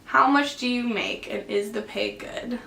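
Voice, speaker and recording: Chatty young woman with a creaky voice. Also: a little high, unhurried, bright, forceful tone. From Canada. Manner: chatty, lots of fillers and likes. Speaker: air traffic controller answering viewer questions. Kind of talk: casual Q and A vlog. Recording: echoey room, faint background hum.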